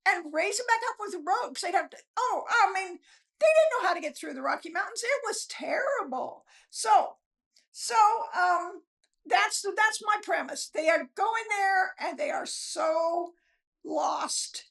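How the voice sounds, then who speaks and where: A woman guest chatting casually and telling stories in an animated, folksy way. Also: very high voice, measured pace, vocal fry. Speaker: author guest, novelist. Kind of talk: casual chat, storytelling.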